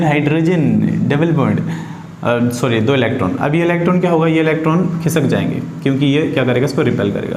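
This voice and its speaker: A man's voice: medium pitch, a little mellow. A man teaching an informal lesson to students, repeating phrases and asking them rhetorical questions. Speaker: chemistry teacher. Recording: echoey room with faint background noise.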